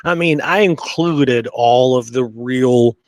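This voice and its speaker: Man, mid-pitched voice, casual podcast co-host, enthusiastic and rambling, dry recording. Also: slow pace, dry recording. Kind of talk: casual chat.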